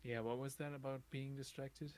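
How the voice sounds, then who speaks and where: A man with an average-pitched voice talks casually on a game stream. He is wry and gently teasing. A little background noise sits under his voice. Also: level tone, normal speed. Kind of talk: casual chat on a gaming stream.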